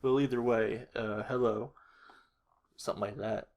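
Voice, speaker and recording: A man's voice: lowish voice, fairly even intonation, measured pace, a little mellow. A man narrating a casual tutorial, hesitant and unrehearsed, thinking aloud as he works. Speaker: animator, video creator.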